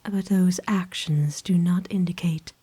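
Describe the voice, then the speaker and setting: A woman voicing a kind, doting aristocratic vampire in an ASMR roleplay, speaking formally and tenderly in a soft, breathy whisper. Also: lowish voice, fairly even intonation, no room sound. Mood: patient, affectionate. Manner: formal, genteel, tender, unhurried. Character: kind, doting aristocratic female vampire owner, gently possessive.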